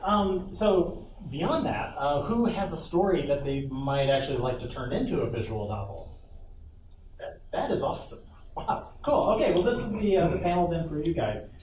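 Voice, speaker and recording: A man's voice: on the high side, lively intonation, normal speed. A man giving an informal live workshop talk as a panel presenter, speaking off the cuff with asides. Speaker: panel presenter, lead game developer. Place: convention panel room, indoors. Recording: echoey room, distant mic, loud background noise.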